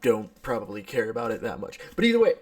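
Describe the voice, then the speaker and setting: A man's voice: lowish voice, big pitch swings, fast talker. A young man doing casual playthrough commentary, reading aloud and reacting playfully, sometimes tripping over his words. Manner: playful, self-mocking, stumbles and stutters over words. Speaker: gaming video host. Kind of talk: casual playthrough commentary with read-aloud visual novel text. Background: music.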